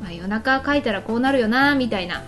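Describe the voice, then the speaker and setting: A woman's voice: on the high side, expressive, fairly quick. A woman hosting a radio show, switching between casual teasing chat and step-by-step cooking narration. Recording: background music under the voice. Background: background music.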